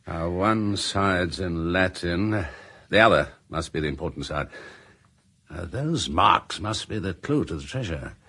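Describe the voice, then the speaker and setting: A man playing an intense young treasure seeker in a radio drama, speaking eagerly and excitably. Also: sits low, a little slow, breathy edge, exaggerated voice.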